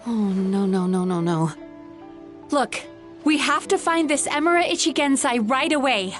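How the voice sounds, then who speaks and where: A calm dream reader. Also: female voice, high voice, soft background music. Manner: calm, measured explaining. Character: composed woman dream reader, analytical.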